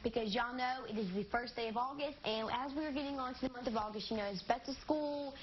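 A woman's voice: on the high side, fast. A teenage girl, chatting through a cooking demonstration on a studio kitchen set, upbeat and sometimes losing her words. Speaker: kid cooking segment presenter. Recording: slightly dull TV audio with faint noise.

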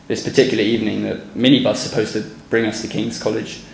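A young man, a former student, giving a measured, sincere speech from a podium on a school stage, with some hesitant restarts. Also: fairly low pitch, level tone, gravelly. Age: young adult. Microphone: podium microphone. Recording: echoey hall, faint background noise.

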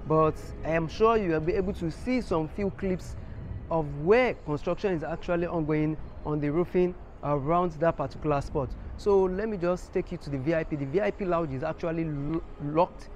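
A man's voice: on the high side, normal speed, a little mellow, dull audio. An earnest Nigerian man in his thirties speaks straight to his viewers with a slightly raised voice. He is recorded outdoors at arm's length, with faint city traffic behind him. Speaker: vlogger. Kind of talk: casual vlog to camera. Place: outdoors in an empty stadium stand. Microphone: phone or camera held at arm's length.

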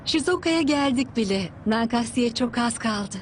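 A woman's voice: fairly high pitch, animated, moderate pace, background noise, lightly acted. A woman playing a busy, affectionate mother of a young boy in a cartoon. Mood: amused, soothing.

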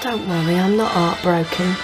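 A woman's voice: fairly low pitch, steady tone, normal speed, over music, natural voice, rough recording. An actress playing a hard-bitten, cynical young shop girl with a blunt manner, in a radio drama. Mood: scornful. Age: young adult.

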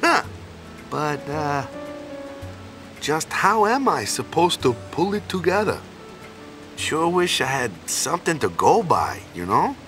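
A man's voice: a little high, very animated, slow, long pauses, fairly bright tone, soft background music, exaggerated voice. An actor playing a good-hearted, boneheaded island man in a video game, talking in a casual, folksy way with tag questions. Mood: resolute but uneasy. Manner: folksy and casual, tags sentences with "yeah?" and "you know?".

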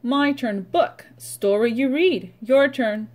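A middle-aged woman speaks as a preschool teacher to young children. Her voice sits a little high and she goes very slowly. She is instructional, leaving pauses so that listeners can join in or repeat. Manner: instructional, prompting with pauses for repetition. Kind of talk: read-aloud vocabulary lesson for young children.

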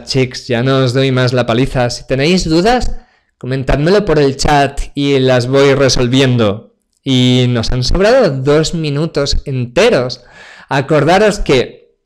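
A man in his forties from Spain, giving a joking, chatty online tech talk with a live demo, speaking to the audience over a webcam from his home office. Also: mid-range voice. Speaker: cloud computing speaker. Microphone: webcam video call.

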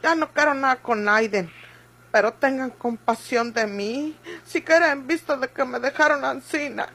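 A woman's voice: high voice, moderate pace, a bit bright. An actress playing a frightened, injured country woman in a Mexican radio soap, plaintive and rustic in speech, with a Mexican accent. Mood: pleading. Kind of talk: Mexican golden-age radio soap acting.

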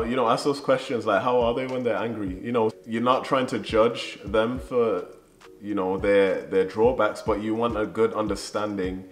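A young man co-hosting a vlog, giving casual relationship advice to camera. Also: lowish voice. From Birmingham, England. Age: young adult. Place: living room, on a sofa. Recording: echoey room. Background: music.

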